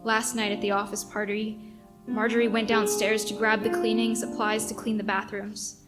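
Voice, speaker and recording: A woman's voice: mid-range voice, level tone, moderate pace, lightly acted. A woman acting a remorseful, anxious male radio staffer who speaks hesitantly and earnestly in a radio play. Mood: grave recounting. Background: music.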